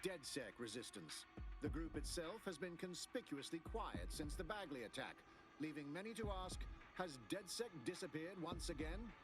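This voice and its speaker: A male news anchor in a game, pitched a little high. He reads grave, formal bulletins over a clear music bed. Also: very animated, normal speed. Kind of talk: scripted broadcast news reading.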